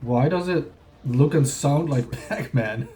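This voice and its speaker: A man's voice: mid-range voice, takes it slowly, mellow. Sick-sounding man reacting casually. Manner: rambling, offhand, sometimes wry. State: sick, sniffling. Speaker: gaming reaction streamer. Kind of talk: casual live reaction chat. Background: faint trailer music.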